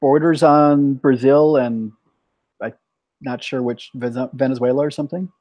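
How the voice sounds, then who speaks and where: A man's voice: medium pitch, fairly even intonation, unhurried, dry recording, highs cut off. A young man hosting an English practice video call, telling stories animatedly with frequent restarts. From United States. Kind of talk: casual chat over video call.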